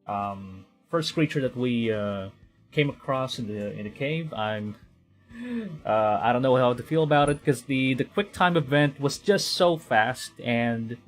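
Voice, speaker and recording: A man's voice: medium pitch, measured pace, faint music behind. A young man from the Philippines chatting casually while streaming a game, rambling and correcting himself as he goes. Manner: rambling, self-correcting, quietly talking to himself. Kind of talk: casual gameplay commentary.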